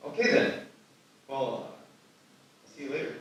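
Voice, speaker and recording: A man's voice: medium pitch, sing-song, a little slow, fairly bright tone. An actor playing an awkward, scheming young man smitten with his neighbor, in a live screenplay table read. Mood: hopeful, sheepish. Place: live festival reading in an echoey room. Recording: echoey hall, distant mic, faint noise.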